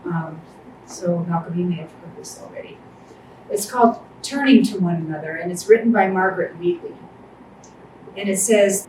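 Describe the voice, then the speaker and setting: Woman reading a poem deliberately. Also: medium pitch. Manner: calm, deliberate poetry reading. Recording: echoey room, distant mic, faint noise.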